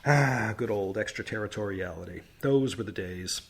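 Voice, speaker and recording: A man's voice: on the low side, moderate pace, vocal fry, breathy edge. A man hosting a history podcast, telling the story in a casual, chatty way with wry asides and stressed words.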